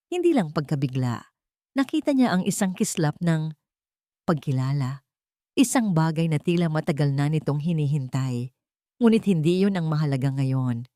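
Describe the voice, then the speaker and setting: A Filipino-accented woman tells a romantic drama from a script. Her voice sits a little low for a woman. The sound is very dry, with hardly any room around her, as she narrates in a dramatic storytelling style. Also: warm tone, dry recording.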